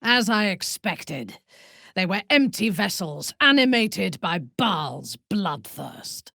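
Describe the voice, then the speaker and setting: A woman playing a proud, ruthless dark elf noblewoman in a video game, speaking formally and deliberately with a sardonic, coolly menacing manner. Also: mid-range voice, big pitch swings, vocal fry, no room sound, studio quality, full character voice. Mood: wry, cool. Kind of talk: video game character voice acting.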